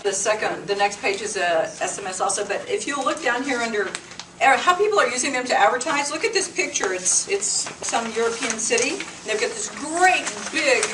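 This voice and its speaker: A woman's voice: a little high, expressive, brisk. A woman giving an informal, conversational workshop presentation to a small group, explaining things plainly. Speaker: presenter at a business meetup. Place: meeting room with a small group. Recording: roomy, distant mic with clear noise.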